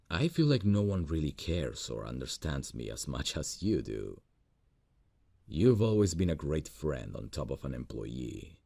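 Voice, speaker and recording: A man playing a gravelly lovesick boss. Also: dry recording, full character voice. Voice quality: deep gravelly voice. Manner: soft, intimate, slow and earnest. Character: lovesick male boss, gentle and earnest. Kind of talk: ASMR roleplay audio.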